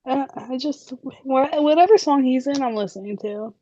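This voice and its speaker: A young woman co-hosting a casual chat, chatty and giggly, her voice a little hoarse. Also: sits high, mellow, muffled recording. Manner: giggly, chatty, drawn-out fillers. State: slightly hoarse. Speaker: podcast co-host.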